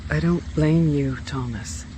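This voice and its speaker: An actress playing a gentle, consoling wife in a radio drama, with a soothing manner. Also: female voice, low-pitched, measured pace, noisy background. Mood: tender.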